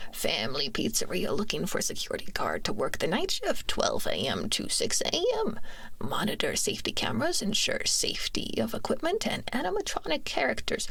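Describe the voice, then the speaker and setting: A woman narrates fiction in an ASMR style. Her whisper is very breathy and often creaky. She is recorded close on a binaural mic with a dry sound. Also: a little high, dry recording. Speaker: ASMR streamer reading fan fiction. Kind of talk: whispered ASMR story reading. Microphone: close binaural microphone.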